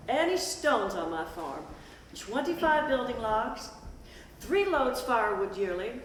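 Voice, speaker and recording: A woman's voice: on the high side, fairly bright tone. A woman playing plain-spoken rural townsfolk in a stage play, announcing pledges in a declarative manner. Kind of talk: scripted stage play recitation. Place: stage performance at a festival. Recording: echoey hall, distant mic, noisy.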